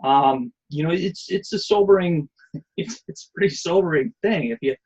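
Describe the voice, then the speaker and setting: A man's voice: fairly high pitch, expressive, normal speed, a little mellow, husky, dull audio. A man giving an online presentation and answering questions, rambling with many fillers and restarts. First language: not Hebrew.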